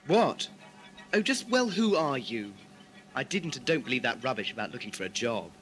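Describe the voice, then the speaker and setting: Wry British schoolboy, played by a man: reluctant, doubtful, politely sarcastic, animated intonation, audio drama. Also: mid-range voice, moderate pace. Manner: hesitant, dryly sarcastic. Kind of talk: amateur audio drama acting. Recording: music under dialogue, home-made cassette drama.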